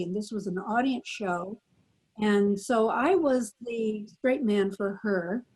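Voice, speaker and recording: An older woman spins a story with animated delivery, her voice husky and a little breathy. She speaks over a video call as a panel guest. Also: mid-range voice, low-quality recording. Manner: animated storyteller, acts out quoted lines. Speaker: panel guest, former child radio actor. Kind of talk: anecdotal storytelling.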